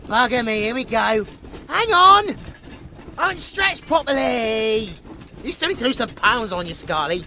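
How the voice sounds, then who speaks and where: A woman playing a cheeky, excitable young village bard with a British accent, chatty and colloquial in a fantasy audio drama. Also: fairly high pitch, normal speed, a little hiss or hum. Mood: grumbling, cheeky. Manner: animated, colloquial, playful. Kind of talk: fantasy audio roleplay acting.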